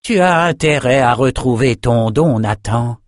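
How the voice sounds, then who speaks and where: A man doing a gleeful, taunting sorcerer, pitched up. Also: sing-song, measured pace, no room sound, studio quality. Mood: menacing. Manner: taunting, theatrical. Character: gleeful, menacing male sorcerer, mocking and smug.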